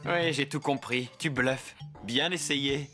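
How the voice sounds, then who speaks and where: A man's voice: mid-range voice, slow, pushed and bright, faint music behind. A man voicing a stern, skeptical captive in a cartoon, insistent and dismissive. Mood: demanding. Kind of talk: animated cartoon dub.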